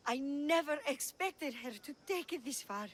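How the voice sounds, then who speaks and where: A scared, pleading temple servant in a video game cutscene. She speaks nervously and haltingly, over noticeable background noise. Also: high-pitched, expressive. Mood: anxious. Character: frightened, pleading female servant of a priestess.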